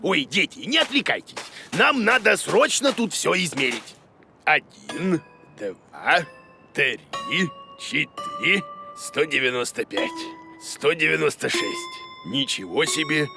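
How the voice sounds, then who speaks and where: A man voicing a boastful, bumbling male teacher and travel guide in an educational cartoon, pompous, excitable and absurdly sure of himself. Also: fairly high pitch, takes it slowly, gravelly, music in the background, heavily acted. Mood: pompous, bustling. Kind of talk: animated educational cartoon acting.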